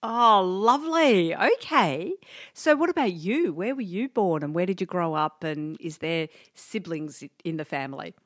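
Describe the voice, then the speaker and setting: A woman's voice: lowish voice, lively intonation, moderate pace, a bit bright, no echo, studio quality. An Australian woman hosting a podcast interview, bubbly and enthusiastic. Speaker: podcast host.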